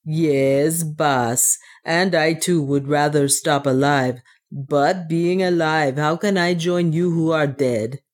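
Low-voiced, fearful dwarf servant acted by a woman. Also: slow. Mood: frightened, deferential. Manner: archaic, self-pitying, deferential speech. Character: superstitious, fearful, devoted male dwarf servant.

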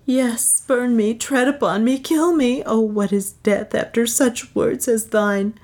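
A woman's voice: fairly low pitch, takes it slowly, dark tone. A woman reading a story, voicing an anguished, tender young woman who pleads in impassioned, archaic speech. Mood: frantic, pleading. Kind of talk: dramatic audiobook storytelling.

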